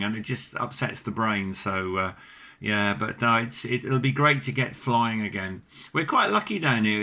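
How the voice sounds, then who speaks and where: A man's voice: on the low side, pushed and bright. A middle-aged British man chatting casually as an amateur radio operator, courteous and talkative. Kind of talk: casual ham radio chat.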